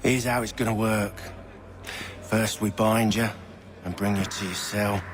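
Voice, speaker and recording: A man's voice: on the low side, fairly even intonation, a little slow, over music, close to an everyday voice. An actor playing a smug male criminal thug in a video game, taunting in a colloquial, mocking way. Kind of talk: dramatic video game acting.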